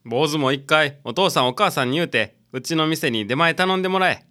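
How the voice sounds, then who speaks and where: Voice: medium pitch, animated, a little fast, very noisy, close to an everyday voice. ラジオドラマで、役者が関西弁のざっくばらんで気のいい若い男性の寿司屋店員を演じている。. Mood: casual. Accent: Kansai. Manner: blunt, casual. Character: casual, good-natured young male sushi-shop part-timer. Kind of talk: community radio drama acting.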